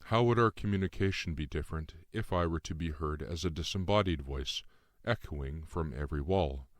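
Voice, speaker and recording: A man performs a cryptic male spirit from an audiobook tale. The voice is deep and composed, with formal, measured explanations. It sits very dry, with no room around it. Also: no echo. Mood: composed, explaining. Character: calm, cryptic shape-shifting guide spirit in male form. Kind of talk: audiobook character dialogue.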